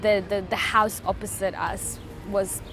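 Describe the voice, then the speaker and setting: A woman's voice: medium pitch, level tone. Earnest woman over music. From Afghanistan. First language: Farsi. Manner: earnest, halting with restarts. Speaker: interviewee, refugee charity founder. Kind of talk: reflective interview answers.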